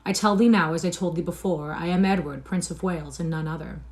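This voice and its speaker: A woman's voice: fairly low pitch, lively intonation, a little fast, a little mellow. A woman reading aloud and voicing a proud, indignant boy prince who speaks with stiff formal dignity.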